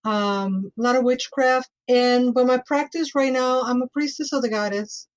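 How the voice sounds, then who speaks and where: A woman's voice: on the high side, moderate pace. Mexican woman guest, chatty. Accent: Mexican Spanish. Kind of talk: casual chat.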